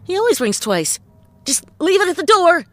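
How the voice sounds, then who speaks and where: A woman's voice: very high voice, very animated, normal speed. An actress playing a cocky, wisecracking woman detective in a comic noir audio drama, wry and theatrical. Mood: playful, cocky.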